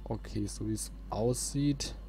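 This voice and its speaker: A man's voice: lowish voice, a little slow, noisy background. A young man hosting a let's play with casual gameplay commentary. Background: video game sound.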